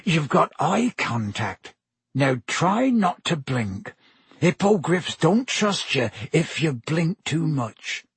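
A male narrator acts out a huge, good-hearted gamekeeper from a storybook. The voice is very gravel-edged and husky, rising high with excitement. The speech is rustic, colloquial and booming. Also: slow pace, no room sound, heavily acted. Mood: hushed, anxious. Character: big, hearty, kindly gamekeeper teacher, rough-spoken and excitable.

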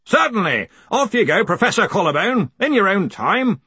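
A man voicing a hearty, booming head wizard of a university in an audiobook. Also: very animated, sharp tone, breathy edge, dry recording, heavily acted. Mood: brisk. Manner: booming, brisk. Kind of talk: audiobook character acting.

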